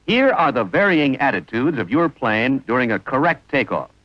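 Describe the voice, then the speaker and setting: Lo-fi vintage film sound, dry room, male narrator, scripted instruction, formal, precise, mid-pitched. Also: sing-song, normal speed, no echo.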